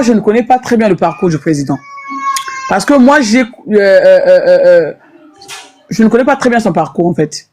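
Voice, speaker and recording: A woman from Brazzaville, speaking haltingly as a panelist in an online debate. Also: mid-range voice, a little mellow. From Brazzaville, Congo. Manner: hesitant, many fillers and restarts, defensive.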